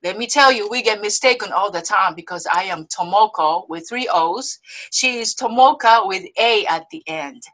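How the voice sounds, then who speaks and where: A woman from Osaka with a Japanese accent, hosting a video-call interview show in a bubbly, excitable way, laughing often. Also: medium pitch, moderate pace. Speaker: host. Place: indoors before a movie-themed backdrop. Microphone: webcam video call.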